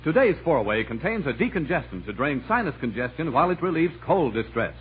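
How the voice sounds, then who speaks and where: A man's voice: a little high, very animated, a little fast. A man reading a scripted mid-century radio commercial as announcer. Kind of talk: scripted 1950s-60s radio ad read. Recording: tinny, hissy old radio recording.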